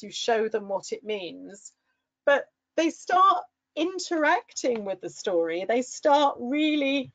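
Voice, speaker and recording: A middle-aged woman giving an encouraging talk to fellow English teachers, with lively bursts when quoting pupils. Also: fairly high pitch, dry recording, muffled recording.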